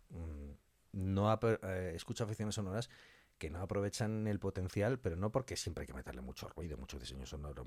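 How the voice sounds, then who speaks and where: Man, low voice, emphatic conversational guest, very dry recording. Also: dark tone, dry recording. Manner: colloquial and emphatic, repeating key phrases and voicing hypothetical quotes. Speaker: interview guest, audio fiction director. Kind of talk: conversational interview answers.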